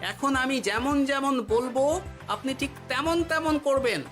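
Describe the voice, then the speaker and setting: A clever cartoon jester speaks in a very high voice, guiding and cautioning with authority. His delivery is deliberate and instructive, with music playing behind him. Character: clever, commanding male village jester guiding a treasure hunt. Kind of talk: Bengali cartoon voice acting. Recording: loud background music.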